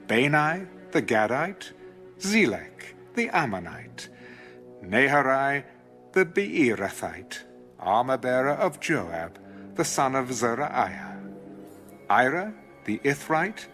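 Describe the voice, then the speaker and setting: A male audio Bible narrator with a solemn, dramatic delivery. He takes his time and moves expressively across his mid-range pitch, with faint music underneath. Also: a bit bright. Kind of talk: solemn scripted scripture narration.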